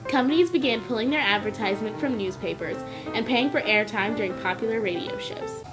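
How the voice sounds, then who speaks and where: A woman's voice: on the high side, fairly quick, over loud music, low-quality recording. A woman reading scripted educational narration.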